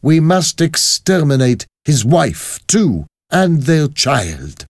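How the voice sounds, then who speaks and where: A man's voice: on the high side, big pitch swings, slow, dry recording, studio quality, pitched above the performer's own voice, exaggerated voice. A man voicing a vengeful revolutionary woman villain in a storybook, cold, emphatic and menacing.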